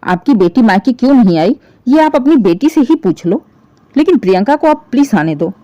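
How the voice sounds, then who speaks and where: A woman puts on a worried, protesting mother from an audio family drama. Her voice is high and very animated, plaintive and questioning as she pleads for her married daughter. The recording is close and dry. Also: a little fast, no echo, lo-fi sound. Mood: pleading.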